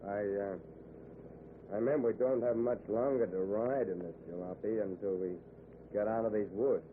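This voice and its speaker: A tinny, faintly noisy old radio recording carries an actor as a calm, menacing man. His voice is on the low side, clipped and evasive, in thriller style. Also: measured pace, natural voice. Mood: cool, evasive. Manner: terse, evasive, understated menace. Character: menacingly calm male hitchhiker driver, laconic and secretive.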